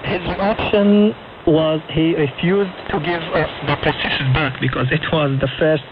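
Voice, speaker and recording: A man's voice: on the high side, fairly even intonation, measured pace, a crowd in the background. A young man speaking non-native English in short phrases as an interview guest, recounting his own experiences over a shortwave radio broadcast. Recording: narrow, noisy shortwave radio reception. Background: clear radio static and noise.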